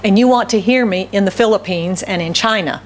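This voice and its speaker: A middle-aged American woman pastor preaching a sermon from a podium in a church hall, with a loud voice, repeating key phrases and asking the congregation questions. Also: medium pitch, brisk, a little hiss or hum. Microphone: podium microphone.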